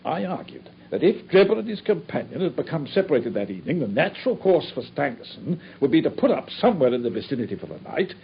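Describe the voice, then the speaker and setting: A man's voice: fairly high pitch, very animated, vocal fry. An actor playing a dogged, proud male police inspector recounting a case in a radio drama. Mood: earnest. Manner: earnest, storytelling, brisk. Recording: dull old radio recording with faint noise.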